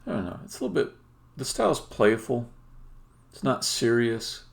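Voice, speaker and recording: A man who develops indie games, talking casually and haltingly as he thinks aloud through a live-stream demo. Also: medium pitch, very animated, gravelly.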